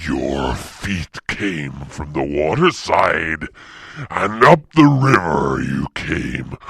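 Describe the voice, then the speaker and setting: A man voicing a huge, wrathful, boastful storybook dragon, growling in a raspy, drawn-out, menacing way. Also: sits low, pitched below the performer's own voice, full character voice. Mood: menacing, suspicious. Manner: slow, drawn-out, forceful proclaiming.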